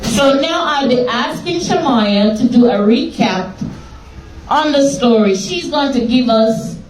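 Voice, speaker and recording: A woman's voice: high voice, expressive. A woman teacher reading a story aloud to a class and patiently quizzing her pupils in a classroom. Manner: slow, patient questioning of pupils. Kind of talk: classroom lesson and read-aloud. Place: classroom full of children. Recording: echoey room, mic far off, class chatter.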